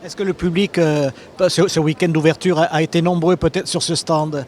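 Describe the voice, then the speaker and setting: A man's voice: on the high side, level tone, moderate pace, darkish tone, a little hiss or hum. A man roaming a trade fair, asking stand holders questions in a chatty, joking way with many restarts. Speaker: fair reporter. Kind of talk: roving interviews at a trade fair.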